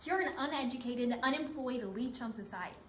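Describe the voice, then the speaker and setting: A woman's voice: high voice, brisk, a bit bright. A woman performing readers theatre as a cruel, taunting reality show contestant, with scornful theatrical delivery. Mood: scornful, mocking. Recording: echoey room, some background noise.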